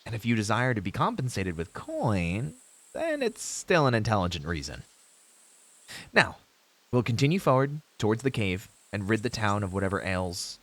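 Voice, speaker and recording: A man's voice: lowish voice, huge pitch swings, normal speed, heavily acted. A man playing an earnest, idealistic young novice hero in a fantasy audio roleplay, speaking in formal heroic phrases with hesitant asides to a companion. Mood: encouraging, resolute.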